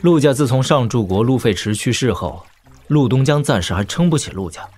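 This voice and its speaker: An actor playing a young prince in an audio drama, talking in a casual, wry, teasing manner. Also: male voice, mid-range voice, expressive, brisk, a little mellow, faint music behind, close to an everyday voice. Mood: wry, confiding.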